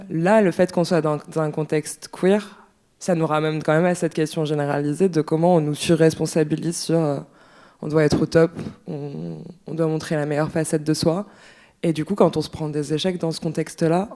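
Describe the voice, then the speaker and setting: A woman in the audience at a library book talk, giving a thoughtful, hesitant comment with many pauses and fillers. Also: low-pitched, warm tone. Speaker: audience member. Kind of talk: spontaneous spoken comment. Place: library event room.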